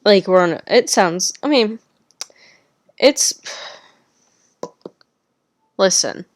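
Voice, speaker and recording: A woman's voice: mid-range voice, expressive, slow, no echo. A woman cohosting a podcast in chatty, casual talk, swearing now and then, speaking into a headset microphone at home. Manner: chatty, swears casually, explains tech setup.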